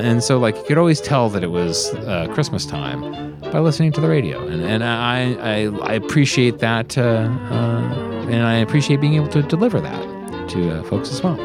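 A man hosting a radio show in casual, unscripted chat, rambling and halting as he talks with enthusiasm. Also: lowish voice.

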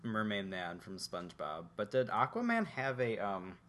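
A man's voice: on the low side, huge pitch swings, moderate pace, a bit bright. A young man co-hosting a podcast, chatting casually and rambling with lots of filler words. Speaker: podcast co-host. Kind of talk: casual chat.

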